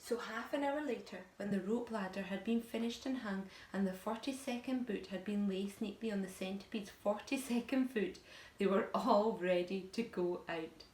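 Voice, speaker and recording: A woman narrating a children's story aloud in an expressive style. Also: mid-range voice, normal speed, a little hiss or hum. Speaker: teacher reading aloud. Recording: echoey room.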